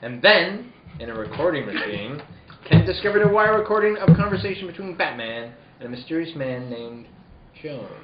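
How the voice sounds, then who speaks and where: A man's voice: a little high, very animated, moderate pace. A man playing a dramatic old-time radio serial announcer, with grandiose, emphatic delivery. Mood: suspenseful. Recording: roomy, dull sound, audience chatter behind.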